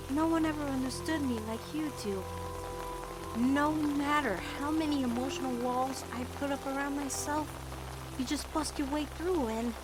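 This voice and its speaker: A woman voicing an awkward, lovestruck teenage girl in a cartoon comic dub, speaking in a halting, heartfelt way over music. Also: sits high. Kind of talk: animated-series comic dub acting.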